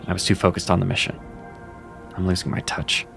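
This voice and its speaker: A man's voice: sits low, fairly quick, vocal fry, heavily acted. A man voicing Seraphin, a wry cat mentor. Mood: steady. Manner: steady, reassuring, self-critical. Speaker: voice actor. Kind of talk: audio drama acting. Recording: music underneath.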